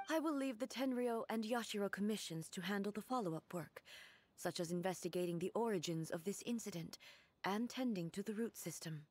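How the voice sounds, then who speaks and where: An actress voicing a solemn, regal female god-ruler in a game, speaking in a measured, formal, grave way. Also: mid-range voice, darkish tone, natural voice. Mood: resolute. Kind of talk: game voice acting.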